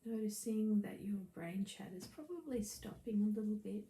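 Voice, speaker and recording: A woman leads a guided meditation as a breathing teacher, speaking in a soft, soothing, gently instructive way that turns breathy at times. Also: mid-range voice, animated, moderate pace. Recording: roomy echo, faint background noise.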